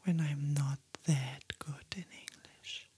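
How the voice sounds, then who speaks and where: A young woman with a German accent, a native German speaker, whispering slowly and gently as an ASMR creator in a small dark studio on a close binaural microphone. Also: deep voice, fairly even intonation, slight background noise. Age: young adult. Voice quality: breathy whisper. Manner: gentle, slow whispering with soft spoken asides.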